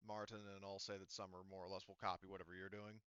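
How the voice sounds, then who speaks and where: A man refereeing a tabletop game as game master in a casual, halting way, thinking aloud as he goes. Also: deep voice, level tone, fairly bright tone, clean tone, no room sound, highs cut off.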